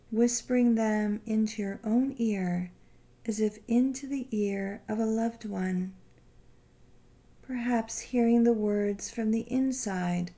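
Slow, mellow woman guiding a meditation. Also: medium pitch. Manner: gentle, unhurried, soothing delivery with long pauses. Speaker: meditation guide. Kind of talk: guided meditation narration.

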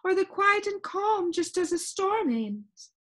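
A woman voicing a gentle, talkative, dreamy lady who adores silence, in a soft singsong storybook voice. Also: very high voice, big pitch swings, slow pace, voice pushed up, heavily acted. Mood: dreamy, rapt. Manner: wistful, genteel, chatty.

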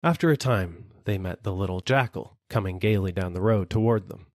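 A man narrating a children's fable as an expressive audiobook reader. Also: deep voice, fairly quick, no room sound, clean studio sound. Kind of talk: expressive scripted storybook narration.

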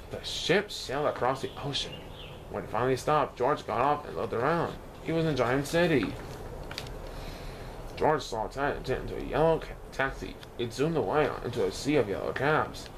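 A man reads a children's storybook aloud in an animated storytelling manner, now and then stumbling over a word. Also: mid-range voice, background noise. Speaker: storybook reader.

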